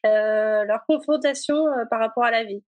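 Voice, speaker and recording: Woman in her 40s, kinda high voice, lots of "euh" and little laughs, dry close mic. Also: normal speed, dry recording, soft background music. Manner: hesitant, many fillers, laughs often. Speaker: guest, a reader of the book. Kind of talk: casual interview answers.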